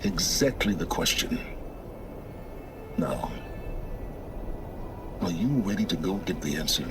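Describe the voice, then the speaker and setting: An actor playing an aloof, impatient middle-aged scholar of ruins in a video game, measured and condescending. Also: male voice, sits low, noisy background. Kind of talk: dramatic video game acting.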